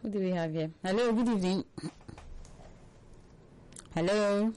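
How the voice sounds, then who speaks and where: A woman hosting a live radio phone-in, upbeat and quick to laugh, greeting callers over and over. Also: mid-range voice, darkish tone. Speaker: radio call-in host.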